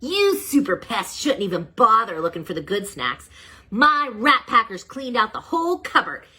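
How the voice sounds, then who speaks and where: A woman voicing a boastful male rat villain with a squeaky, sneering voice in a read-aloud storybook. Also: big pitch swings, normal speed, pushed and bright, breathy edge, full character voice. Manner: sneering, mocking.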